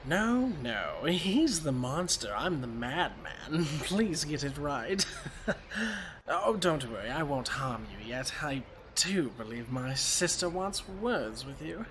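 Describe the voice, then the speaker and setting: Casting: man as gleeful villainous madman, audio drama, theatrical and mocking, slow with wide swings in pitch. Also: big pitch swings, a bit bright, a little hiss or hum, full character voice. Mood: gleeful menace. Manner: theatrical, mock-polite, taunting. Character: gleefully cruel, flippant madman sorcerer.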